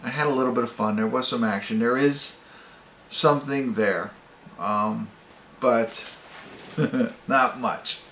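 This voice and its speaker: A man's voice: medium pitch, slow pace, long pauses, fairly bright tone. A man giving a casual, off-the-cuff review as host, rambling and hesitant as he searches for words. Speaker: amateur movie reviewer and host. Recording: roomy echo, faint background noise.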